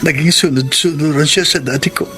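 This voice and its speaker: A man's voice: a little high, steady tone, brisk, lightly acted. A man with a Filipino accent playing an earnest, pained husband in a radio drama. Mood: earnest, apologetic. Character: earnest, pained husband explaining for his wife. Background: loud dramatic music.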